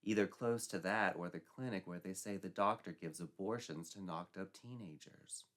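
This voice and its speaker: A man's voice: sits low, normal speed, fairly bright tone. A man narrating a scripted comic tale in a theatrical, arch, mock-dramatic manner. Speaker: storyteller, narrator.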